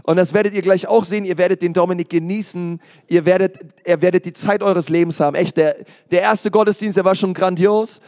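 A man from Berlin with a high voice introduces a guest pastor on stage, piling on effusive praise. His voice carries through a large church hall with a long, trailing reverb. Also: steady tone, brisk, hardly any pauses, a little mellow.

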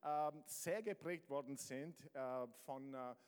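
A man's voice: medium pitch, takes it slowly, a little mellow, smooth. A man from Southern Italy with an Italian accent, preaching to a congregation with energy, often stumbling and restarting. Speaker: guest pastor. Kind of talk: lively sermon to a congregation. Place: church hall with congregation. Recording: large hall with long reverb.

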